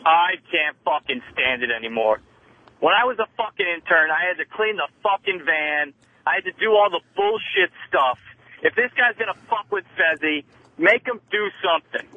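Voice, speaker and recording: A man's voice: high-pitched, lively intonation, normal speed, no echo. A man from Connecticut calling into a radio show, ranting angrily and swearing constantly. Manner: angry, profane ranting. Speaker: caller. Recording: tinny phone line.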